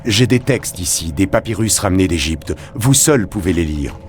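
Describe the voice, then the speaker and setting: A man playing a grave adventurer hunting demons in a video game, speaking with formal, deliberate, theatrical diction. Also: low voice, lively intonation, darkish tone, a little hiss or hum. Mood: grave, resolute. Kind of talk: video game voice acting, narrated observations.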